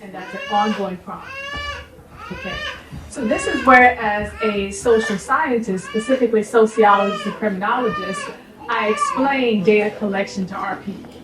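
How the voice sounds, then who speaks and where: A middle-aged woman with an American accent giving an informal, emphatic and instructive talk to a community audience. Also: on the high side. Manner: emphatic, instructive, checks in with listeners. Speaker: speaker, sociology professor giving a presentation. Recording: roomy, distant microphone with faint background noise.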